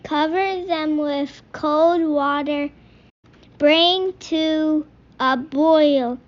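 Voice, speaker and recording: A woman voicing a patient but exasperated rabbit instructor from a picture book, speaking in deliberate, halting steps. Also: sits high, fairly even intonation, dull audio. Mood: instructive.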